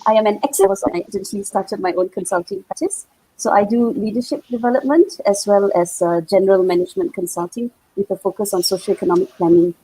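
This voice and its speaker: A woman, a consultant introducing herself on a video call in a brisk, matter-of-fact way. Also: mid-range voice, dark tone, breathy edge, dry recording, low-quality recording. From Malaysia. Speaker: consultant guest.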